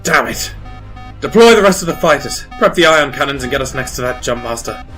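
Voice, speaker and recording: A man's voice: medium pitch, lively intonation, a little fast, a bit bright, lightly acted. A man playing an anxious, dutiful Imperial lieutenant, formal and military, in a sci-fi audio drama. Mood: urgent. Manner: formal, military, slightly nervous. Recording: music behind the voice.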